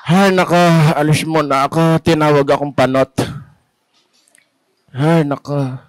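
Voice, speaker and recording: A man's voice: on the high side, steady tone, measured pace, no room sound, lightly acted. A man voicing a touchy but loving old grandfather puppet with a Filipino accent and a grumbling, indulgent manner in a children's show. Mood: offended.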